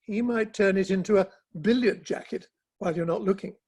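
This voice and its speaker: A man playing a dry, mildly ironic Victorian gentleman in a dramatic play reading, formal and understated, with a husky voice. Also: high-pitched, a little fast. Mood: dry irony.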